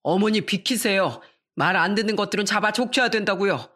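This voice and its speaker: A woman's voice: medium pitch, brisk, natural voice. A woman voicing a drunk, abusive middle-aged father who bullies his family. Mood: defiant. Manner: bullying, yelling.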